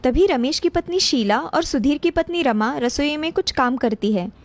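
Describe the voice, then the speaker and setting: A woman's voice: high voice, expressive, moderate pace. A woman narrator reading a scripted moral story for a cartoon. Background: music.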